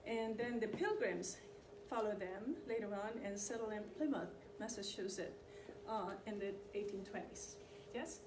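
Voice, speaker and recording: A woman's voice: medium pitch, normal speed, hardly any pauses. Woman lecturing in an echoey room. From Chile. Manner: conversational, often checks in with the audience. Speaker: community presenter on immigration history. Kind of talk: informal lecture to an audience. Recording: echoey room, distant mic, background noise.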